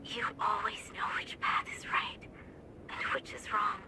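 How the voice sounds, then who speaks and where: A woman playing a gentle, caring young sweetheart in a video game cutscene, with a soft, breathy delivery. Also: mid-range voice, level tone, unhurried, whispered, lightly acted, rough recording. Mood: reassuring.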